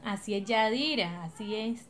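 A woman's voice: medium pitch, measured pace, background noise, close to an everyday voice. A woman with a Colombian accent acting an encouraging, patient village leader in an educational radio drama, explaining things colloquially. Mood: earnest. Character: encouraging, patient woman leading her coastal village community.

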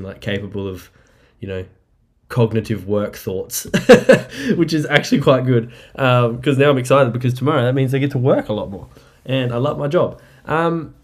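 A man hosting a casual solo podcast, rambling and upbeat, a bit nasal and croaky from the flu, recorded on a laptop mic in an apartment. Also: lowish voice, darkish tone. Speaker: podcast host. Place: apartment indoors. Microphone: laptop microphone.

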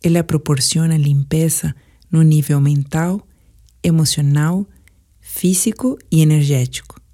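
A Brazilian woman narrating a guided sleep meditation in a calm, soothing, measured way, with soft, breathy phrase endings. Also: low-pitched.

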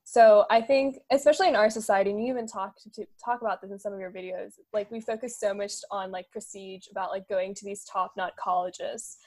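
A woman's voice: medium pitch, fairly even intonation, a little fast, hardly any pauses, a little mellow. A young woman hosting a podcast interview, speaking informally with an attentive, conversational manner and a casual use of "like". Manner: informal, attentive backchannels, frequent "like". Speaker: podcast host.

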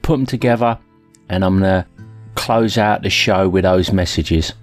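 A man's voice: sits low, moderate pace, faint music behind. A man hosting a podcast, talking casually and informally in a self-deprecating way. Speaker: podcast host. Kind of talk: casual solo podcast talk.

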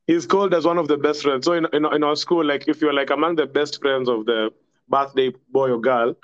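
A man's voice: fairly high pitch, steady tone, a little fast, no echo. Laid-back young Kenyan man, muffled. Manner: rambling, laughs often, mixes in Swahili. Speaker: podcast guest. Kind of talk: casual storytelling chat.

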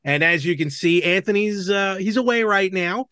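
A man's voice: high-pitched, normal speed, pushed and bright, clean tone, dry recording, studio quality. A man hosting a podcast in casual, chummy, informal chat. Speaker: podcast host.

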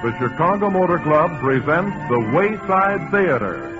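An American man announcing old-time radio, giving a formal sponsor pitch in a husky voice. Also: animated, a little slow, over loud music. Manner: formal, salesmanlike. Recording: muffled old radio recording.

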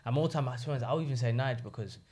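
A man's voice: mid-range voice, rapid-fire. A young man, a podcast guest telling stories in casual chat and acting out the people he quotes. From England. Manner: animated storyteller, acts out quoted voices.

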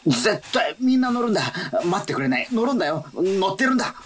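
An actor playing a pushy, excitable companion in a radio drama, insistent and exaggerated, repeating phrases. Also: mid-range voice, fast talker, slight background noise, natural voice. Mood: urgent. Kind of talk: theatrical radio drama with spoken sound effects.

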